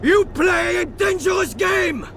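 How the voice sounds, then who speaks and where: An actor playing a menacing Templar knight commander in a video game, shouting threats in a strained, gravelly, declamatory voice. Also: male voice, very high-pitched, slow, a little hiss or hum, heavily acted. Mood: furious, threatening. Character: menacing enemy Templar knight commander, male, besieging a fortress. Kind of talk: video game cutscene acting.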